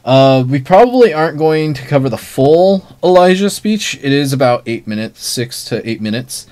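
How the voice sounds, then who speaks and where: A man co-hosting a casual panel podcast over an online call, explaining things in a halting, rambling way. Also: medium pitch, lively intonation, very noisy. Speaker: podcast co-host.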